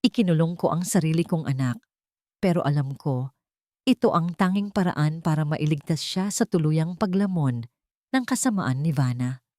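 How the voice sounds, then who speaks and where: Woman storyteller, low pitch, dramatic scripted narration, close dry recording. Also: animated, moderate pace, mellow, no echo.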